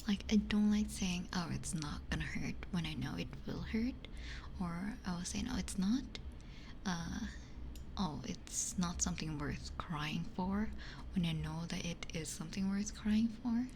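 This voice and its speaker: A woman streamer whispering in a breathy, conversational ASMR style, talking reflectively close to a binaural microphone. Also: lowish voice, measured pace, noisy background. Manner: reflective, conversational, repeats phrases for emphasis. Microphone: close binaural ear microphone.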